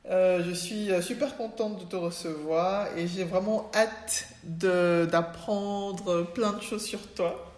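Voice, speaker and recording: A woman's voice: lowish voice, steady tone, takes it slowly, hardly any pauses, roomy sound. A woman hosting a podcast, enthusiastic and chatty, with plenty of fillers. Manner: enthusiastic, warm reactions and fillers. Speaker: podcast host.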